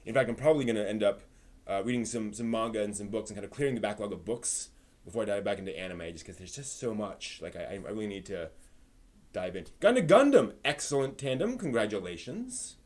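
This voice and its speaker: A man's voice: fairly low pitch, lively intonation, brisk, darkish tone. A man hosting a live stream in a conversational way, moving between news rundown and casual asides to his viewers.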